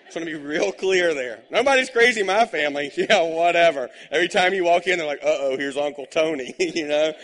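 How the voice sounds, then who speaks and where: A man's voice: a little high, fairly bright tone. Man preaching a casual sermon. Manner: conversational and joking, with rising emphatic bursts. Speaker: pastor.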